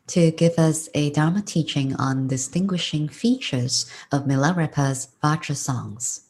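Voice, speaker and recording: Low, slow-paced woman host. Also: darkish tone. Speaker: event host. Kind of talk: formal scripted introduction. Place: indoors on a video call. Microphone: video call microphone.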